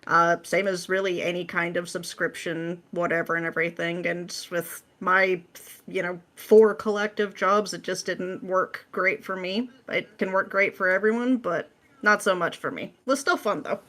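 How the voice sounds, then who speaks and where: A woman's voice: fairly low pitch, steady tone, normal speed, a bit bright. A woman answering questions as a guest in a casual interview, informal and dryly self-deprecating.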